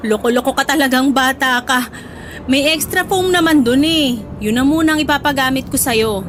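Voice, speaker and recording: A woman's voice: high voice, moderate pace, a bit bright, slight background noise. A woman acting a middle-aged mother in a Tagalog radio drama, fondly scolding her grown son with sighing interjections. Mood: exasperated affection. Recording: music bed under the voice.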